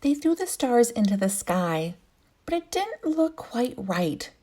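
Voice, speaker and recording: A woman reads a picture book aloud like a kindergarten teacher. Her voice is slightly husky and very expressive in pitch, with phrases drawn out carefully for small children. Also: takes it slowly. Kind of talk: expressive storybook reading for young children.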